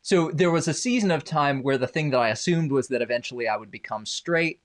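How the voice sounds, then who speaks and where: A man's voice: mid-range voice, fairly quick. A man who grew up in Indonesia, a podcast guest, telling his personal story with wry, self-deprecating humor. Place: home basement.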